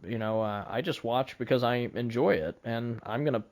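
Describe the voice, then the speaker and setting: A man's voice: medium pitch, normal speed, darkish tone. A man hosting a casual podcast conversation, speaking in an earnest, wordy way and often repeating himself. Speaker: podcast host.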